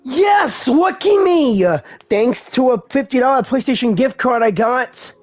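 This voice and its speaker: A woman's voice: high-pitched, animated, measured pace, a little hiss or hum. A woman doing casual, chatty video game playthrough commentary, reacting aloud as she plays. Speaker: gamer playing and commenting.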